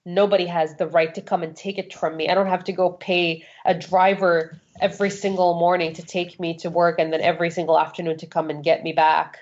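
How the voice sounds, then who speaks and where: A woman's voice: lowish voice, level tone, fairly quick, hardly any pauses, a little mellow. A woman from Saudi Arabia giving candid personal testimony as an interview guest, frank and emphatic, repeating phrases for stress. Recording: dull, lo-fi sound.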